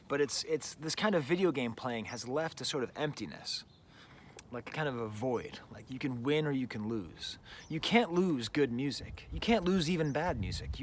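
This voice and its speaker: Middle-aged guy rambling about records outside, mid pitch, chatty, lots of "like", faint noise behind. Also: fairly bright tone. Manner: rambling and chatty, full of "like" and "you know". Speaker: music reviewer, show host. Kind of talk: casual spoken album review. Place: outdoors, birds nearby.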